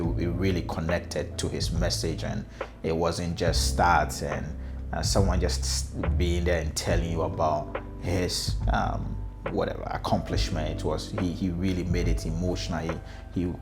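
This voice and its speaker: Deep-voiced Ghanaian man, halting delivery. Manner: hesitant, halting with repeated words. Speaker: speaker telling own life story, customer experience consultant. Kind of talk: personal storytelling, unscripted.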